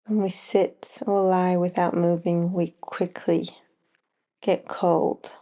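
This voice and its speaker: A woman guiding a yoga nidra relaxation, speaking in short, unhurried phrases with long pauses between them. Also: fairly low pitch, little pitch movement, warm tone. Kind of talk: slow guided relaxation meditation.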